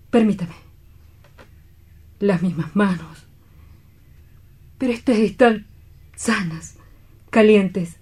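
A woman playing a grief-stricken, guilt-ridden doctor in a radio drama, solemn, halting and imploring. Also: mid-range voice, dark tone, husky. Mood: tender, haunted.

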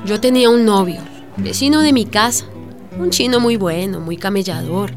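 Radio drama role: resilient young Colombian refugee played by a woman, chatty, slangy, medium pitch, music underneath. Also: normal speed, natural voice. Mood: sorrowful, earnest. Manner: animated, familiar, slangy.

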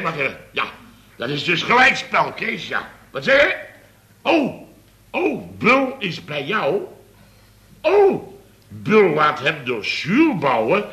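A blustering contractor boss from a radio comedy serial. His voice is rather high, piercingly bright and swings wildly up and down. He stammers, repeats himself and gets excitedly flustered. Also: heavily acted.

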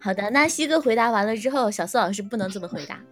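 A woman's voice: mid-range voice, lively intonation, rapid-fire, over music. A woman hosting a light group interview, chatting in a playful, teasing way. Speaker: host.

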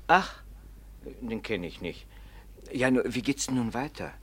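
An actor playing a plain-spoken, deferential servant in a radio play. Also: male voice, mid-range voice, expressive, a little slow, fairly bright tone, slightly rough.

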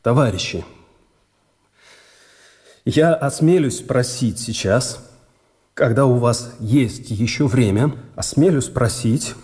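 An actor playing a condemned engineer in a radio drama, honest and humbly pleading, with a halting, deferential way of talking. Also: male voice, mid-range voice, a little mellow, close to an everyday voice. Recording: reverberant radio play, faint music.

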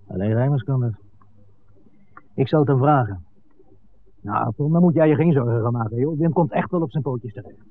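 A man's voice: mid-range voice, moderate pace, long pauses, natural voice. Male actor as reassuring village man, mid voice, colloquial, vintage radio play, thin sound. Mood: concerned. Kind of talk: 1960s Dutch radio drama acting. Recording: narrowband vintage radio, faint noise.

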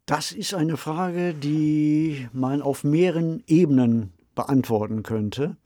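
A middle-aged or older man co-hosting a podcast, talking in a measured, deliberate and articulate way. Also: mid-range voice, dark tone, dry recording, clean studio sound. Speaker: podcast co-host. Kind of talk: conversational podcast discussion.

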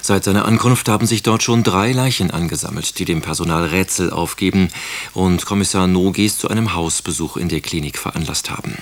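Guy radio presenter doing a scripted story recap, low voice. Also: fairly quick. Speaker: radio presenter introducing an episode.

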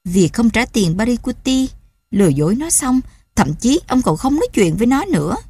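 A worried village mother pleads with her husband, her husky voice pitched up and urgent, as a woman reader puts her on. The recording is dry and close, with no reverb. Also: sing-song, normal speed, mellow, heavily acted. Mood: pleading. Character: anxious middle-aged fisherman's wife and mother.

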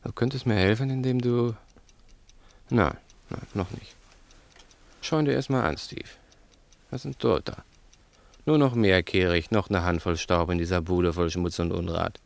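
Cunning, low-voiced gravelly crook. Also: male voice, fairly even intonation, normal speed, pauses often. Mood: coaxing, brooding. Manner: insistent, theatrical, probing with rhetorical questions. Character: cunning, menacing, domineering crook, patronising to his accomplice. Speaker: actor in a radio play. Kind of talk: 1960s German radio play acting.

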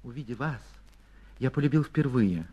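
Actor doing an ardent, pompous young suitor. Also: male voice, medium pitch, lively intonation, moderate pace, breathy edge, dull audio. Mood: ardent, hushed. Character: earnest young suitor, ardent, pompous, easily flustered and outraged.

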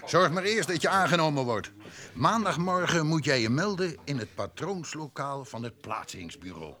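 A man's voice: on the high side, sing-song, moderate pace, bright, forceful tone. A male actor playing a matter-of-fact man giving news and advice in a Dutch radio drama.

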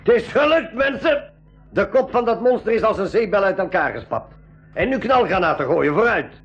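Daring adventurer, resolute, radio play, slightly high voice, clearly noisy tape. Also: male voice, expressive, moderate pace. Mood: triumphant. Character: resolute, daring male adventurer and grenade-throwing helper. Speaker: actor in a school radio drama. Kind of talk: Dutch-language 1980s radio play acting. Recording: muffled, noisy old radio recording.

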